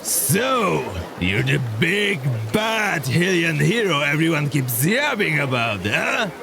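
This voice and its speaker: Gravelly, cocky hulking fish-man brute. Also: fairly high pitch, sing-song, music in the background, full character voice. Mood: jeering. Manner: taunting, slow swagger. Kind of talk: audio drama acting.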